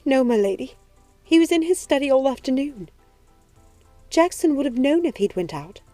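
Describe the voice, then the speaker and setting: A woman's voice: high-pitched, expressive, normal speed, dark tone, no echo, faint music behind, voice pushed up. A woman voicing a distraught, deferential young female servant who is crying and short of breath, in an audiobook. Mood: tearful.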